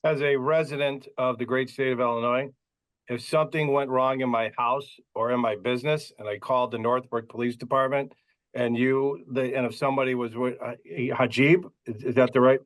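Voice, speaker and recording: A man's voice: mid-range voice, steady tone, fairly quick, rough recording. A man hosting a podcast, asking long rambling questions with stammers and light jokes. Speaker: podcast host. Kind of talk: casual interview questions.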